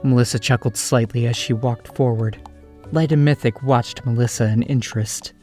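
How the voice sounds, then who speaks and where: Man narrating a story over soft music. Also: mid-range voice, steady tone, normal speed.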